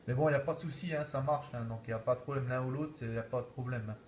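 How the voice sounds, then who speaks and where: A man's voice: mid-range voice, fast, darkish tone, slight background noise. A man talking casually as an amateur radio operator, repeating himself and peppering his talk with verbal tags. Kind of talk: casual radio contact chat.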